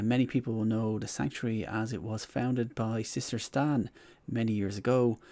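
A man's voice: medium pitch, creaky, no room sound. A man hosting a podcast, introducing his guest in an effusive, enthusiastic way. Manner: warm, effusive, enthusiastic welcomes. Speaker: podcast host.

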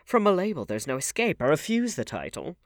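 A man plays an arch storybook aristocrat, witty and languid. The intonation swoops theatrically and often lifts well above a narrating pitch, in a dry recording. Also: big pitch swings, fairly quick, no room sound. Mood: playful, grandiose. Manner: arch, epigrammatic, theatrical emphasis. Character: witty, languid English aristocrat, playfully paradoxical gentleman.

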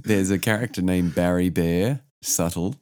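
Very deep-voiced man with the flu. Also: normal speed, a little mellow, dry recording, clean studio sound. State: sick with the flu, voice lowered. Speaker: podcast host. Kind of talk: casual music podcast chat.

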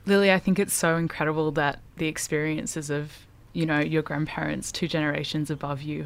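A woman's voice: deep voice, vocal fry. A woman hosting a radio interview, asking curious questions and leading into a song. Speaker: radio show host.

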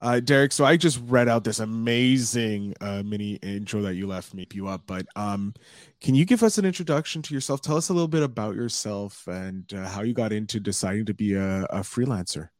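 A man hosting a podcast, opening with a showy welcome and then asking relaxed interview questions. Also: on the low side, a little fast, no echo, clean studio sound. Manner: upbeat showman intro, then relaxed questions. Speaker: podcast host.

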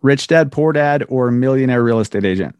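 A man hosting a podcast, relaxed and casual, firing off quick this-or-that questions to his guest. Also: mid-range voice, clear, resonant tone, no room sound. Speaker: podcast host.

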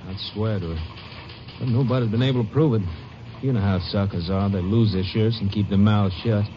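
An actor playing a worldly, cynical newspaper editor in a 1950s radio drama. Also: male voice, on the low side, fairly quick, a little hiss or hum, natural voice. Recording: muffled old radio broadcast.

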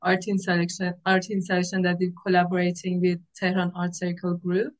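A woman's voice: on the low side, monotone, brisk. Female podcast guest, Iranian accent. Informal, effusive, conversational delivery. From Iran. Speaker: podcast guest, activist artist. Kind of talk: casual conversational interview.

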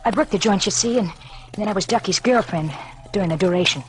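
A woman's voice: mid-range voice, fast talker, lightly acted. An actress playing a frightened, streetwise gangster's girlfriend on the run in a 1940s radio drama, talking anxious American slang. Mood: anxious, pleading. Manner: slangy, anxious moll talk.

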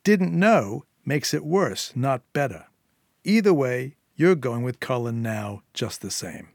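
A man's voice: medium pitch, expressive, takes it slowly, vocal fry, no echo, clean studio sound, exaggerated voice. A man voicing a suave crime boss who is coldly polite and threatening. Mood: menacing. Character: suave menacing male London crime boss.

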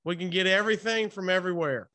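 A man doing a casual, playful interview as the host, chatty and prompting with quick follow-ups. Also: high voice, pushed and bright.

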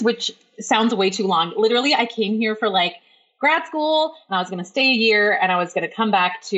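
A woman's voice: mid-range voice, a bit bright. Bubbly woman guest on muffled audio. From Iran, raised in Canada. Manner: bubbly, talkative. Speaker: guest, life coach. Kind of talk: podcast interview.

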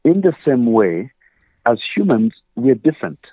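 A man's voice: mid-range voice, very animated, normal speed, slightly rough, dry recording. Ugandan man on a tinny phone line. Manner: earnest, explains with examples and quoted questions. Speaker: guest. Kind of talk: conversational interview.

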